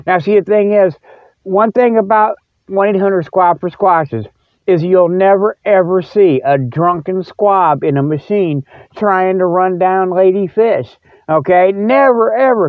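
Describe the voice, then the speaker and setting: A man's voice: sits high, dry recording. A man hosting a podcast, rambling casually in a folksy, repetitive, stop-and-start way. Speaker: podcast host. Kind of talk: casual rambling solo talk-show monologue. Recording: narrow, phone-like sound.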